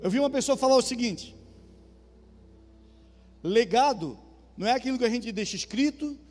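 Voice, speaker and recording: Southern Brazilian male pastor, high voice, chatty preaching in a reverberant hall. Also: expressive, normal speed. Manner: conversational, asks the congregation questions. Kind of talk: sermon. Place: church hall. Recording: reverberant church hall with soft music.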